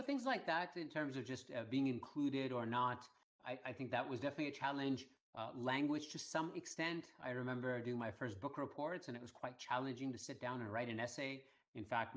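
A middle-aged man at an ordinary pitch, talking through his story as an interview guest. He speaks in a measured, unhurried way, with plenty of small fillers as he gathers his thoughts. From Iran. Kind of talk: conversational interview.

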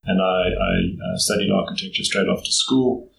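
Gravelly, measured South African man. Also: low voice, wildly swooping pitch, a bit bright. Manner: thoughtful, measured, pauses to choose words. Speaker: guest. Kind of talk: interview conversation. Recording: echoey room, faint background noise.

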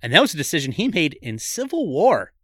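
Bright, lively man recapping a movie. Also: on the high side, brisk, no echo, clean studio sound. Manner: retells plot, laughs easily. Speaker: podcast co-host. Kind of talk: casual movie podcast chat.

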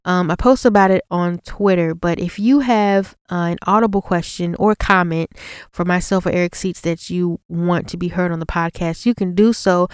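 A woman podcast host with a clear, smooth voice on the lower side. She speaks conversationally as she explains and advises, and the sound is close and dry. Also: fairly even intonation, moderate pace, no room sound. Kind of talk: casual podcast talk with advice.

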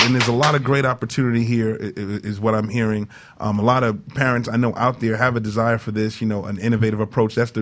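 Fast-talking man co-hosting a radio discussion. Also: medium pitch, heavy background noise. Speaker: radio show co-host.

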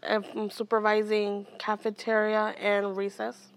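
Soft-spoken young woman from Oakland. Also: medium pitch, monotone, unhurried. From Oakland, California. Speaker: guest, educator and mentor. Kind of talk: outdoor interview. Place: outdoors.

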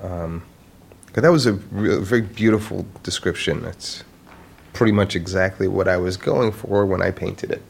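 Blind retired painter for audio drama: very low, creaky, halting, understated, guarded yet gentle. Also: male voice, fairly even intonation, exaggerated voice. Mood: wistful. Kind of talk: naturalistic audio drama acting.

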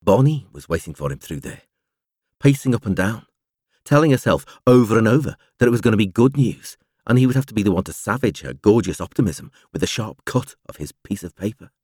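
A male narrator with a fairly low voice reads a novel aloud. His intonation is lively and moves widely as he shapes each phrase in a measured storytelling manner. The sound is dry and close, like a studio audiobook. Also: slightly rough, dry recording. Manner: expressive, measured storytelling delivery. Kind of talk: scripted literary narration.

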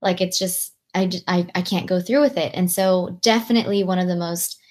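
Slightly low-voiced young American woman, reflective. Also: steady tone, brisk, darkish tone. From Long Beach, California, USA. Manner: reflective, peppered with "like" and "right?". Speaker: podcast guest. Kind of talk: conversational interview answers.

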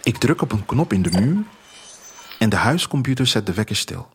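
A man narrating a literary first-person audio drama as its author, in an expressive, poetic storytelling manner. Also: on the low side, normal speed, husky.

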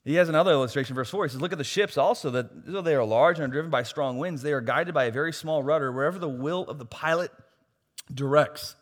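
A pastor preaching a sermon, losing his voice and swinging from soft asides to loud, emphatic points. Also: a little high, fast. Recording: long hall reverb.

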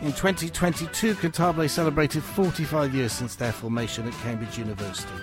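A man presenting a radio music show, reading a scripted introduction and asking interview questions in a measured, formal way. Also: on the high side, fairly even intonation.

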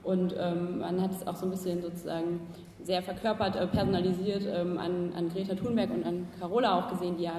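A woman's voice: on the low side, level tone, brisk, dark tone, a little hiss or hum. A woman moderating a panel discussion, speaking hesitantly with many fillers. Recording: echoey hall, distant mic.

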